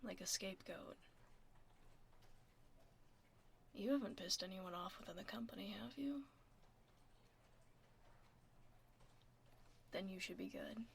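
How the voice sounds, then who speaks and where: Casting: actress as tomboy werewolf office admin, soft and breathy with gravelly fry, teasing but reassuring, close-miked ASMR with keyboard typing and faint noise. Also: female voice, medium pitch, animated, quick pace. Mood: teasing, concerned. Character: tomboy werewolf office admin woman, laid-back, gruffly caring. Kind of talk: ASMR roleplay, soft casual office chat. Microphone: close binaural microphone.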